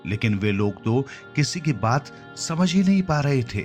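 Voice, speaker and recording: A man's voice: mid-range voice, sing-song, normal speed, a little mellow. A man narrating a scripted fantasy story for children as its storyteller. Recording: clear music bed under the voice. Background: background music.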